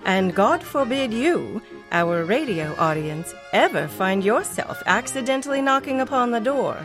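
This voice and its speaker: Animated woman announcer over violin music. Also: a little high, unhurried. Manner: dramatic, formal. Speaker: announcer of a radio drama. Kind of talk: scripted theatrical introduction. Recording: clear violin music behind the voice.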